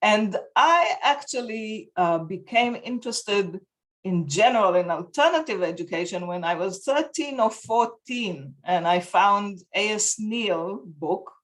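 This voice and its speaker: A woman's voice: medium pitch, animated, measured pace. A woman in her fifties with an Israeli accent, a guest on a video call from her home, searching for words as she talks. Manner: thoughtful, searches for words, laughs easily. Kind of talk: casual interview. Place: home room with bookshelves. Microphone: video call laptop mic.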